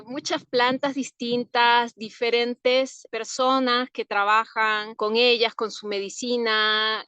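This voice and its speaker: This is a young woman from Peru, a plant-medicine practitioner, giving relaxed interview answers. She talks slowly and reflectively, laughing softly now and then. Her voice is smooth and clear, recorded close and dry. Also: a little high, dry recording. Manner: unhurried, thoughtful, soft laughs between answers. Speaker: interview guest, herbalist.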